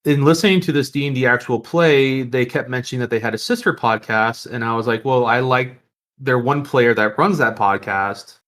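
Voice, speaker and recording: A man's voice: mid-range voice, fairly even intonation, moderate pace, fairly bright tone. A man co-hosting a podcast in casual, rambling, jokey conversation. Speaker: podcast co-host.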